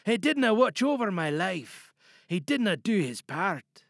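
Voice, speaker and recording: A man's voice: high voice, sing-song, takes it slowly, no echo, clean studio sound, heavily acted. A man playing a shy, hurt student reading aloud to a class in an audio monologue, hesitant and apologetic, holding anger under strained politeness. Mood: restrained bitterness.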